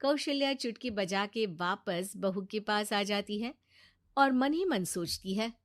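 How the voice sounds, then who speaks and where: A woman's voice: mid-range voice, lively intonation, measured pace. A woman reading scripted narration for a cartoon bedtime story.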